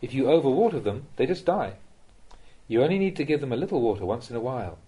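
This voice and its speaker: A man with a slightly husky voice reading a Buddhist Dhamma talk aloud as a monk, in a measured, instructive way that addresses the listener directly. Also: medium pitch, very animated, dark tone, rough recording.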